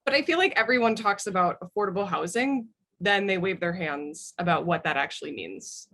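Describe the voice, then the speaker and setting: A woman's voice: fairly low pitch, fairly quick, a bit bright. An American woman talking as a podcast guest, making her points in an informal, emphatic way. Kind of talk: casual opinionated discussion.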